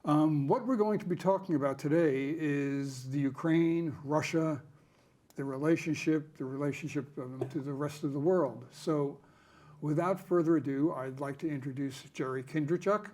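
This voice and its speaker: Higher-voiced old man hosting a chat. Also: moderate pace, a little mellow. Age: 80s. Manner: conversational, breaks in with follow-up questions. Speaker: host. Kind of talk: casual interview.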